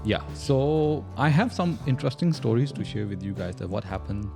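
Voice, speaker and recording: A man's voice: mid-range voice, animated, moderate pace, creaky, warm tone, faint music behind. A man from Pakistan hosting a casual podcast chat, joking and laughing often as he talks in loose, run-on sentences. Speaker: podcast host.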